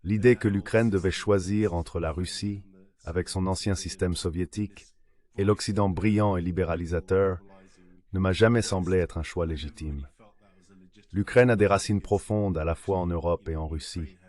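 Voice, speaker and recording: A low-voiced man delivers an interview guest's answers as a dubbed translation. The reading is scripted and measured, in an explanatory register. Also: fairly even intonation, a little mellow. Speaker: interview guest, former diplomat.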